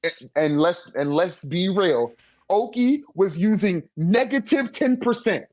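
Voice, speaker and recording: A man's voice: high voice, lively intonation, measured pace, darkish tone, no echo. A man arguing his point in an informal, slangy way, often checking that the listener follows, as in a casual debate. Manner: repeatedly checks for agreement. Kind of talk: casual debate about a manga ranking.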